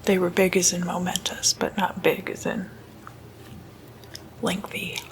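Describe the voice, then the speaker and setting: Slow whispering woman, creaky and crisp. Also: lowish voice, completely flat delivery. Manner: hushed, unhurried, short halting phrases. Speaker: ASMR host. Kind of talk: whispered ASMR, informal lecture on demon lore.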